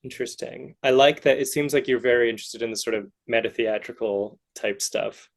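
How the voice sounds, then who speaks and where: A man with an average-pitched voice hosts a podcast interview. He speaks casually and encouragingly, affirming his guest as he sets up questions. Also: a little fast, gravelly. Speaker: podcast host, festival worker.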